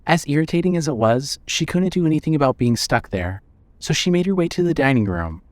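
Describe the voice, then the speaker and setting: A man's voice: on the high side, animated, a little fast, a little mellow, dry recording. A man narrating a scripted story as the narrator of an audio drama.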